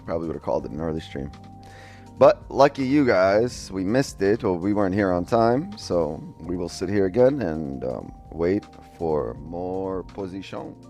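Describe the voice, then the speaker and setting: A man's voice: sits low, animated, normal speed, darkish tone. A man casually talking through trades on a live stream, chatting loosely with viewers and swearing now and then, on a headset mic. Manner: loose, profane, chatty, talks to chat. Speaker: day trading streamer. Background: faint music.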